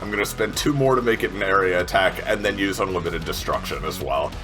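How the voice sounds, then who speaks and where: Low-voiced man playing over background music. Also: fast. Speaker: tabletop game player. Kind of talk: casual game talk.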